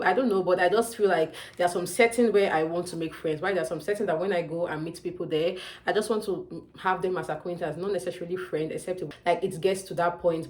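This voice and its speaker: Fast-talking Nigerian woman vlogger. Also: lowish voice. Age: young adult. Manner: chatty, emphatic, often calls out "you guys". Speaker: student vlogger. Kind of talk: casual advice vlog to camera.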